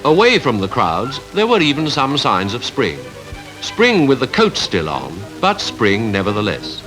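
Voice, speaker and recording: Male newsreel narrator, British RP accent, 1950s style, formal, measured, animated pitch, music underneath, archive recording. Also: rough recording. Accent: British Received Pronunciation. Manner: formal, measured, rhetorical delivery.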